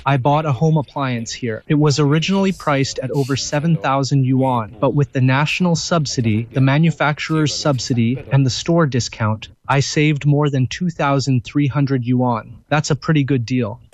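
A man's voice: medium pitch, moderate pace, hardly any pauses. Guy shopper interview, kinda muffled lo-fi audio. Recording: dull lo-fi sound.

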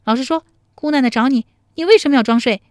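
A woman voicing a bossy, bold young country woman in a storybook, sharp-tongued and teasing. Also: sits high, sing-song, a little fast, dry recording, clean studio sound, voice pushed up. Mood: fierce scolding. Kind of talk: dramatized storybook dialogue.

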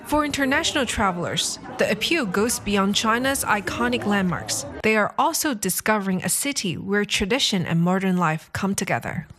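A woman news reporter reading a scripted voice-over report in a crisp, even newsreader way. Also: mid-range voice, moderate pace, few pauses. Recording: voice-over with music bed.

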